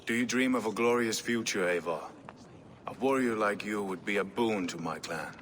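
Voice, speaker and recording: An actor playing a commanding, measured Norse king in a video game, speaking formally and deliberately. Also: lowish voice, fairly bright tone, slight background noise, close to an everyday voice. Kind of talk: dramatic scripted game acting. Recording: echoey hall with music underneath.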